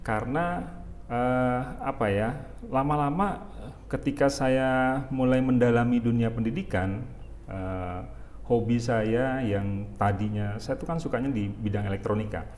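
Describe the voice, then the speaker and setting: A man in his forties from Jakarta, speaking as a guest in an interview in a relaxed, halting way with many fillers. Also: mid-range voice, slight background noise. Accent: Jakarta Indonesian. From Jakarta, Indonesia. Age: 40s. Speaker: university rector, guest. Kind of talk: casual interview talk.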